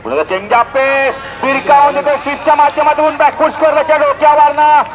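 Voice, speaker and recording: A man giving live play-by-play cricket commentary in an animated announcer's delivery, with punchy stock phrases. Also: very high voice, a little fast, rough recording. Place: outdoor cricket ground. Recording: outdoor event PA with crowd noise. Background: crowd and outdoor event noise.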